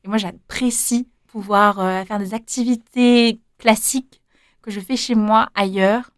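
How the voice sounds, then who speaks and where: A woman's voice: medium pitch, steady tone, measured pace, no room sound, studio quality. A young woman answering interview questions casually, hesitant, with many fillers and restarts. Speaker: interviewee, former volunteer.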